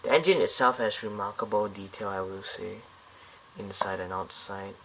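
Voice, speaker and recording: A man's voice: lowish voice, steady tone, moderate pace, fairly bright tone, a little hiss or hum, low-quality recording. A man casually reviewing a scale model airliner up close, talking in a loose, rambling way with many fillers and restarts.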